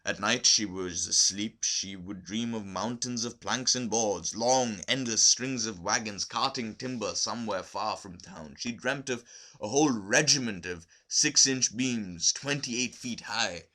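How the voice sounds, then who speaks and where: A man narrating a literary short story from a script, expressive and deliberate, with emphasis on key words. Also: fairly low pitch. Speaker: amateur audiobook reader.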